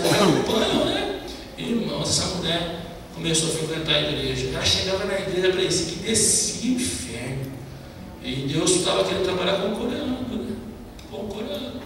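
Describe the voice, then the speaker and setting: Animated preacher in an echoey hall. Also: male voice, high-pitched. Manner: animated storytelling, mimics broken Portuguese. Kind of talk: lively testimony sermon. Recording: echoey hall, distant mic, background noise.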